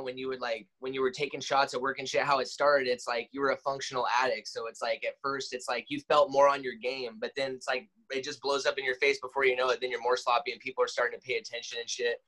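Fast-talking young man, bright voice. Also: medium pitch, flat delivery, poor audio. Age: 20s. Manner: blunt, swearing, says "bro" a lot. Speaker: podcast guest. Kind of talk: casual podcast chat.

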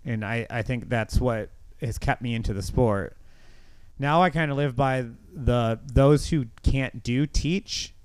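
Clear, dry-sounding middle-aged man chatting. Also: medium pitch, measured pace, no echo, poor audio. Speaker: podcast host. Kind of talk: casual podcast chat.

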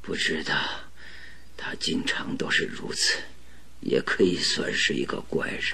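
An actor playing a gravely ill, stubborn detective in a radio drama, with a weak, breathy, halting voice. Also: male voice, rumbling low, background noise, full character voice. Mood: faint, evasive. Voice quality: breathy, raspy, weak whisper. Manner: halting, laboured, pleading.

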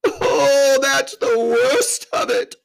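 A narrator voicing a male faun, a guilt-ridden, self-pitying woodland creature, crying as he speaks in drawn-out wails. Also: sits high, big pitch swings, creaky, pitched above the performer's own voice, heavily acted. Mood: anguished confession. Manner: wailing, drawn-out, self-reproaching.

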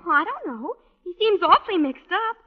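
An actress playing a bright, puzzled teenage schoolgirl in an old radio comedy. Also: very high-pitched, sing-song, fairly quick. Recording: dull, echoing old radio recording.

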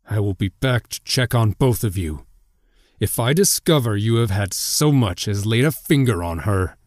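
A man voicing a stern, protective young hero with a slow, controlled delivery, in a storybook reading. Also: fairly low pitch, expressive, a little mellow, no echo, studio quality, natural voice. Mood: menacing. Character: stern, protective young male hero, dangerous when angered.

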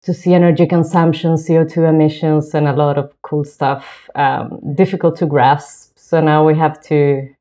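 A woman, talking as an interview guest in a relaxed, thoughtful way with soft laughs. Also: deep voice, moderate pace, few pauses. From southern Chile. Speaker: guest, lighting designer. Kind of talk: casual interview talk. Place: home.

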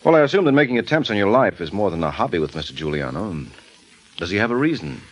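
Radio private detective: American, low and lively, unflappable, wry, suave. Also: male voice. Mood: wry, probing. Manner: dry, sardonic, brisk. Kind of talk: 1940s radio detective drama acting.

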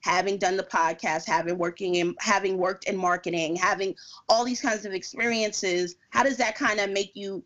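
A woman's voice: medium pitch, steady tone, normal speed, a bit bright, dull audio. A woman co-hosting a podcast in casual chat, asking rambling, informal questions with salty language. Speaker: podcast co-host.

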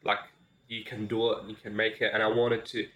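Low-pitched young man telling his story casually. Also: completely flat delivery, a little fast, a bit bright, rough recording. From Iraq. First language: Arabic. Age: young adult. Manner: informal, repeats phrases, frequent "like". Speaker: podcast guest.

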